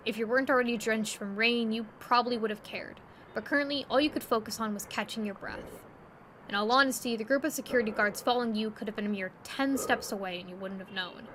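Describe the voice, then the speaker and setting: A woman with a fairly high voice narrates a scripted story. She tells it expressively and descriptively, with faint ambient noise behind her. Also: steady tone, fast.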